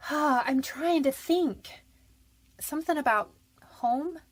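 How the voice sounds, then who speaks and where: A scared young female convict played by a woman in a radio crime drama, speaking in a fairly high voice. She is defensive and slangy, putting up a tough front with a pleading edge underneath. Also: takes it slowly. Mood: straining to remember. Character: frightened, defiant young woman convicted of manslaughter. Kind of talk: radio detective drama acting.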